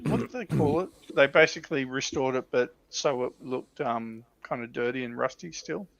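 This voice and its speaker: Bright, gravelly Australian man, casual chat. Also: medium pitch, moderate pace, no echo, low-quality recording. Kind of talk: casual chat about military vehicles on a hobby livestream.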